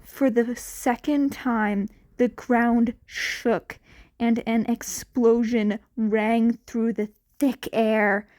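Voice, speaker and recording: Female amateur story narrator; very unhurried pacing, slightly high voice, expressive scripted read.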